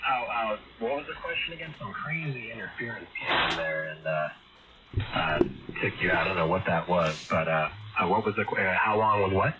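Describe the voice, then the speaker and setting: A man with a very deep voice talks over amateur radio in a casual, halting way. The signal is muffled and narrow, with heavy static throughout. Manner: hesitant, halting. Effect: heard over shortwave ham radio. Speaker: amateur radio operator, visiting caller. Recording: muffled shortwave radio link, loud static.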